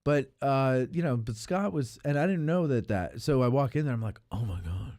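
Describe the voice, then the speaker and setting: A man's voice: medium pitch, animated, moderate pace, dark tone, no echo, clean studio sound. A man hosting a podcast in casual chat, with a joking, teasing manner. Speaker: podcast host.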